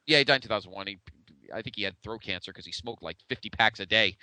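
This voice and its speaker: A man's voice: on the low side, quick pace, bright, forceful tone. A man co-hosting a podcast in casual, conversational retrospective chat, with a blunt way of talking. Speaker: podcast co-host.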